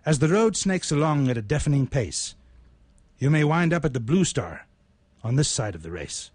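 A man's voice: a little high, very animated, moderate pace, a little mellow, slightly rough, dry recording. Man, dry close recording, storytelling voice. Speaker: radio show host and poet. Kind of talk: conversational storytelling and poetry recitation.

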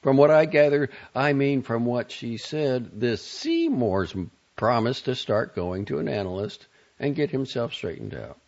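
A man's voice: medium pitch, very animated, unhurried, gravelly, a little mellow, lo-fi sound, pitched above the performer's own voice, heavily acted. A man voicing a brash, bossy, irritable woman in an audiobook, with a sardonic, clipped, emphatic delivery. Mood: breezy, weary, bossy. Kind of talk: audiobook character dialogue.

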